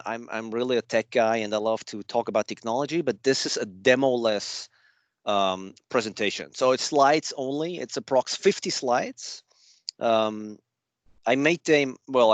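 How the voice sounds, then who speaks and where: A man's voice: medium pitch, no room sound. Chatty Swiss man presenting remotely. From Switzerland. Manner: chatty, loose and self-deprecating. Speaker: guest speaker at a user group. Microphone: remote video call.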